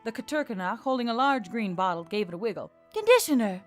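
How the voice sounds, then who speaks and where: A woman's voice: mid-range voice, lively intonation, fast. Woman narrating fantasy fiction over music. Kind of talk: scripted fantasy story narration.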